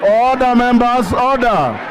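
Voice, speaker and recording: A man's voice: extremely high pitch, animated, slow pace, background noise. A man with a Kenyan accent chairing a rowdy parliamentary session, sternly calling members to order. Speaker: parliamentary speaker. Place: large parliamentary chamber. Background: crowd of legislators.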